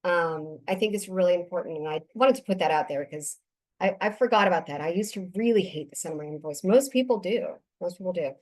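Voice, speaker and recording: Low-voiced woman hosting a podcast. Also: fairly quick, a little mellow. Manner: conversational, affirming. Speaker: podcast host. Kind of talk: casual podcast interview.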